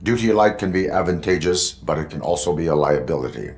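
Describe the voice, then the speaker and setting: An actor playing a stern, by-the-book cyborg police officer in a video game, speaking in a formal, measured, deadpan way. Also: male voice, low voice. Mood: stern, instructive. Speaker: police field training officer.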